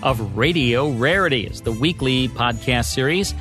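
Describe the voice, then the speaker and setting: A man's voice: mid-range voice, lively intonation, unhurried, a bit bright. An American man reading scripted narration as a podcast co-host. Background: music.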